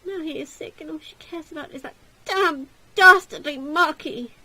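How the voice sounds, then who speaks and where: A woman's voice: high-pitched, normal speed, sharp tone, no room sound, pitched above the performer's own voice, poor audio. A woman voicing a bitter, hot-tempered young woman in a visual novel, indignant and sarcastic, with emphatic delivery. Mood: aggrieved.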